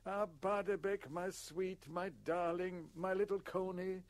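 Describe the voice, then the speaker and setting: A man's voice: fairly high pitch, unhurried, creaky, fairly bright tone, exaggerated voice. A man playing an ageing giant nobleman in a storybook reading, theatrical and declamatory, given to melodramatic exclamation. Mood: mock-tragic grief. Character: ageing giant nobleman, bellowing melodramatically between grief and glee.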